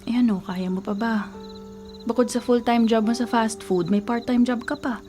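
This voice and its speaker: A woman's voice: on the high side, fairly even intonation, normal speed, mellow, faint music behind, close to an everyday voice. A woman acting in a radio drama as a sweet, concerned young student girlfriend, affectionate, with a Filipino accent. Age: young adult.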